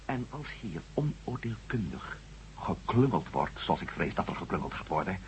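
An actor playing a headstrong, indignant reporter and former astronaut in a radio drama, emphatic and sardonic, with a husky voice. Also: male voice, sits low, sing-song, normal speed, slight background noise. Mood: grave, insistent. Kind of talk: Dutch radio drama acting. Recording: dull, lo-fi old radio recording.

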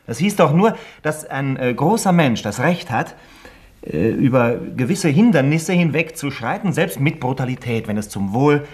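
An actor playing a blunt, indignant friend in a German radio play, husky and informal as he protests. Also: medium pitch, animated, normal speed, darkish tone. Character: blunt, indignant male friend defending the suspect.

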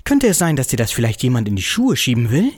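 A woman's voice: rumbling low, wildly swooping pitch, quick pace, darkish tone, husky, no echo, full character voice. A woman playing an earnest, devoted office adventurer in a comedic radio play, melodramatic and urgent. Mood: resolute.

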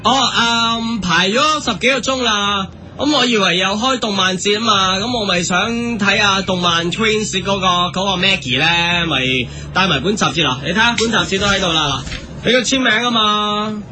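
A man's voice: sits high, moderate pace, pushed and bright, a little hiss or hum. A man voicing a rambling, clueless young anime-fan student in a Hong Kong Cantonese radio news parody. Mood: clueless, offhand. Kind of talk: comic radio news parody vox pop.

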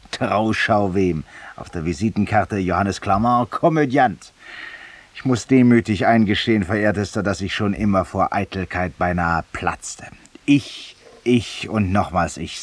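Actor as a deep-voiced, ironic, vain man confessing. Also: close to an everyday voice. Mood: self-mocking, vain. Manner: theatrical, urbane, self-mocking, addressing a silent companion. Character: vain, confessional, ironic male Parisian lawyer in exile. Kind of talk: 1950s radio drama monologue. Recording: distant-sounding 1950s radio studio recording.

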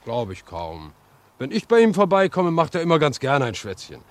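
Chatty railwayman, highish and very animated. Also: moderate pace. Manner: folksy, hesitant, chuckling asides. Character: chatty, good-natured male railway worker, simple and obliging. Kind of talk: 1950s German radio drama acting. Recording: noisy old 1950s radio recording.

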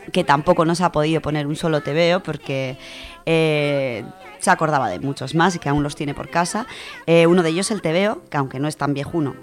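Radio presenter, female, Spain accent. Low register, colloquial and chatty read, music bed. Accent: Spanish from Spain. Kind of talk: casual radio chat, reading out listener contributions.

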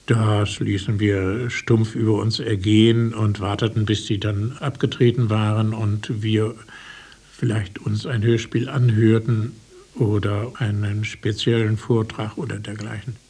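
Husky, even-toned older man. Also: on the low side, a little slow. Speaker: interviewed eyewitness. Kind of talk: spoken recollection in an interview.

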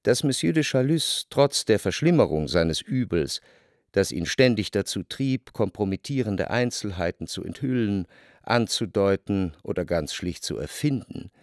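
An actor playing a reflective, well-off man of letters, narrating a literary radio play in a measured, analytical way. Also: lowish voice, lively intonation, dry recording, studio quality. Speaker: first-person narrator.

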